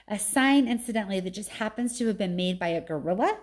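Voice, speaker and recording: A woman narrator plays a doubting father in a storybook. He is wry and reluctant, questioning each point. His tone carries gentle exasperation. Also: medium pitch, lively intonation, fast talker, natural voice. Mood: exasperated refusal.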